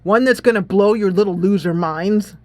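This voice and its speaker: A woman's voice: mid-range voice, moderate pace, darkish tone, dry recording, close to an everyday voice. A woman voicing a hostile teenage demon girl in a video game, biting and theatrical in her threats. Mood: contemptuous. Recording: music playing behind.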